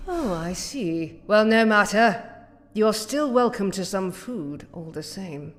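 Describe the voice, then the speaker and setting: A woman playing a cold, condescending mad scientist villain in an audio drama, with a haughty, measured manner and false sweetness, in a reverberant space. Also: mid-range voice, lively intonation. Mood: falsely sweet. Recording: long reverberant hall echo.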